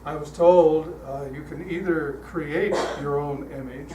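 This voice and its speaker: An older man speaking as a guest at a church, telling stories in a relaxed, chatty way with frequent fillers, his voice calm. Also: fairly high pitch, a little slow. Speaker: guest speaker leading a guided meditation. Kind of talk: storytelling talk to a congregation. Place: church hall. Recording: echoey hall, heard from a distance, noisy.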